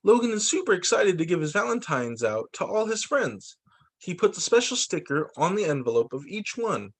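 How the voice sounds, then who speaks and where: Lively male teacher reading storybooks aloud. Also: high-pitched, moderate pace, breathy edge. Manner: expressive, sing-song storybook delivery. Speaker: teacher reading to young children. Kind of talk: read-aloud children's story time. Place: remote video call story session.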